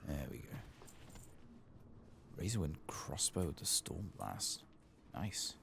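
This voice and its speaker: A man doing soft-spoken ASMR game commentary, murmuring in a muted, unhurried way as he plays. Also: extremely low pitch, big pitch swings. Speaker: gameplay streamer. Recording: clearly audible background noise.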